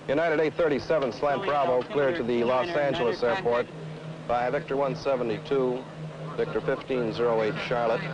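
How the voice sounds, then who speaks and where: An actor playing a businesslike air traffic controller, a man reading out a flight clearance. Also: medium pitch, steady tone, normal speed, a loud crowd, lightly acted. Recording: dull old soundtrack, loud background din.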